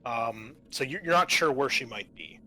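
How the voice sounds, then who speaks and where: A man narrating an improvised tabletop game as game master, halting and often pausing mid-sentence. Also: medium pitch, expressive, bright, forceful tone, low-quality recording.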